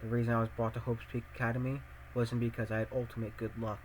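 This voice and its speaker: A man playing an anxious, earnest teenage high-school boy who narrates his own thoughts in a visual novel fan dub, musing and questioning himself. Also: level tone, fast talker, slight background noise, lightly acted, rough recording. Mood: ominous, dramatic. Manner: self-questioning, musing aloud.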